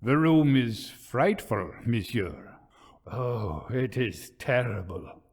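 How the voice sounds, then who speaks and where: A man voicing a superstitious old French caretaker in a ghost story, speaking in a grave, halting, ominous way. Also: mid-range voice, lively intonation, gravelly, slightly rough, full character voice. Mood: ominous dread.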